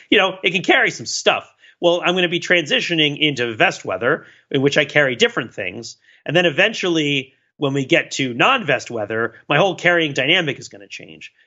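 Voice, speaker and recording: A man with a bright, forceful voice chats casually as a podcast host. His pitch moves a great deal as he rambles with wry self-deprecation. The recording is slightly dull. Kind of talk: casual chat.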